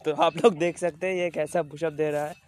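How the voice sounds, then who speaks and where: A man's voice: a little high, flat delivery, fairly quick. A teenage boy filming his friend on a phone and joking about him in casual teasing commentary, laughing between remarks.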